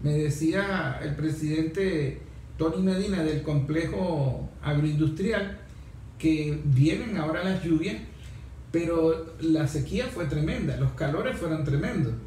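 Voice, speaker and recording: Very reverberant room, Venezuelan man, high-pitched voice, moderator, opinionated, rhetorical questions. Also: a little slow, mellow. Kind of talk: panel discussion, moderating. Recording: very echoey room, faint noise.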